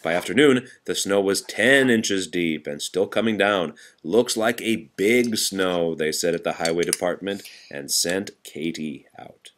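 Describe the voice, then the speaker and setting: A man reading a children's picture book aloud to a child in an expressive, patient way. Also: low voice, normal speed, hardly any pauses. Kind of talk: storybook read-aloud to a child.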